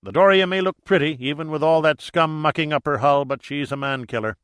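A man's voice: a little high, fairly quick, fairly bright tone, smooth, no echo, clean studio sound, pitched above the performer's own voice, close to an everyday voice. A man voicing a hearty, blunt Irish sea captain and seasoned diver in an audiobook, with salty, colloquial talk. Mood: grave warning. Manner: colloquial, salty, vivid storytelling. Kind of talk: audiobook character dialogue.

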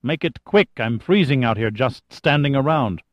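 A man's voice: medium pitch, expressive, moderate pace, no room sound, natural voice. A ruthless, arrogant male militant leader, as acted by an audiobook narrator, hot-tempered and commanding. He sounds clipped and scowling, with a slightly muffled recording. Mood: impatient, commanding. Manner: hissing, commanding, snapping.

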